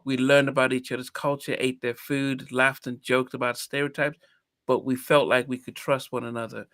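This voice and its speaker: Bright-voiced man hosting a podcast. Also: medium pitch, little pitch movement, moderate pace, no echo, studio quality. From Nigeria. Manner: reflective, hesitant with restarts. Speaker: podcast host. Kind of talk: casual interview chat.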